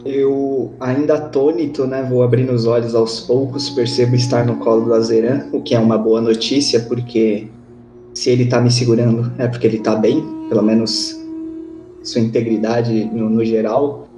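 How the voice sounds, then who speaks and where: A man's voice: medium pitch, little pitch movement, moderate pace. A man with a Brazilian accent playing a tabletop RPG, casually narrating what his character does. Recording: roomy echo, music behind. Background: music.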